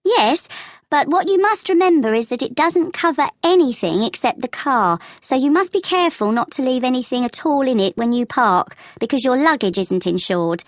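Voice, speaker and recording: A woman playing a helpful, matter-of-fact travel agent in a scripted language-test dialogue, explaining things clearly. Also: high voice, animated, normal speed, no room sound, clean studio sound. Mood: helpful, advising.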